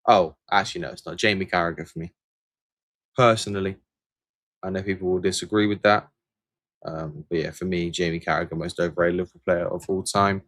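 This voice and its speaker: A man's voice: low voice, fairly even intonation, a little fast, a bit bright, no room sound, clean studio sound. A man from England hosting a casual livestream, reading viewer comments aloud and answering them in a rambling, hesitant way full of fillers and restarts. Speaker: channel host answering viewer questions.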